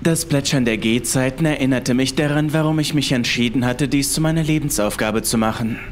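A man's voice: medium pitch, fairly quick, a little hiss or hum. A man reading a scripted first-person horror story as its narrator. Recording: music behind the voice. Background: background music.

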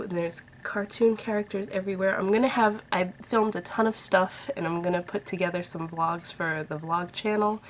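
A woman in her thirties talks to her webcam at home, her voice stuffy from a head cold. She rambles in a chatty, upbeat way, sitting a bit low in pitch. A faint hiss sits under the recording. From Massachusetts. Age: 30s. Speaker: vlogger. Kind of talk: casual chat to camera. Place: bedroom at home, indoors. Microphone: webcam at desk distance.

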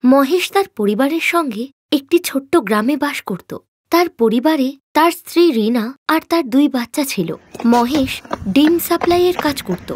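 High-pitched woman narrating a moral story. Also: background noise.

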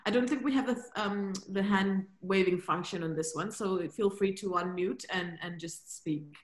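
A woman's voice: mid-range voice, normal speed. Female workshop facilitator, explanatory and encouraging, recorded on a video call in an echoey room. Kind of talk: informal spoken workshop facilitation.

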